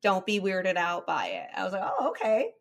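A woman's voice: medium pitch, huge pitch swings, normal speed. A young woman with a light Southern Californian accent hosting a podcast, chatting candidly and laughing often. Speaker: podcast host. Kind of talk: casual chat.

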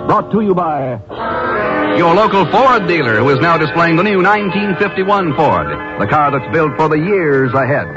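A man with an American accent announcing and pitching sponsors in a polished, emphatic 1950s radio style. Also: big pitch swings, normal speed, dull audio. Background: music.

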